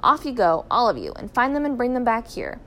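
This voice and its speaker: A woman voicing a firm, practical father turned small bird in a story reading, with brisk commands. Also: fairly high pitch, sing-song, a bit bright, voice pushed up. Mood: bossy, urgent.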